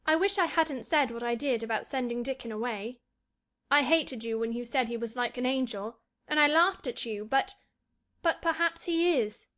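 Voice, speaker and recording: A woman performs a frail young boy from a storybook. His voice is pitched high, well above an adult's, with a plaintive, highly-strung eagerness. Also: moderate pace, a bit bright, natural voice. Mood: wistful. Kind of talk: acted storybook dialogue.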